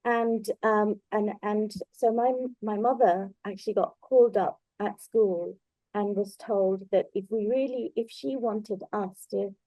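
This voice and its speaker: Thoughtful woman with hesitant, halting phrasing. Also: medium pitch, steady tone, dark tone, no echo, highs cut off. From Bangladesh in early childhood, then moved abroad. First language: Bengali. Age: middle-aged or older. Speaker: invited speaker at a book launch. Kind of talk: reflective conversational talk.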